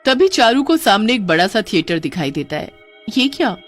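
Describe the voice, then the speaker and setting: A woman's voice: mid-range voice, very animated, fairly quick. A woman reading scripted storybook narration for a horror cartoon. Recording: music under the voice.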